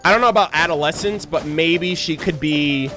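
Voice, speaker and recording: A woman's voice: low-pitched, moderate pace, fairly bright tone. A woman game streamer giving live reaction commentary in a casual, sweary, slangy and hyperbolic manner. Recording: game music behind the voice.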